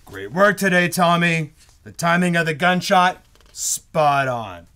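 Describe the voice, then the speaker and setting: Excitable, bright-voiced old radio producer. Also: male voice, a little high, slow pace, exaggerated voice. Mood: confiding, jovial. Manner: hammy, emphatic, brash. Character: excitable fast-talking 1940s radio producer, theatrical flatterer. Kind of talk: old-time radio comedy acting. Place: live stage performance in a bookstore.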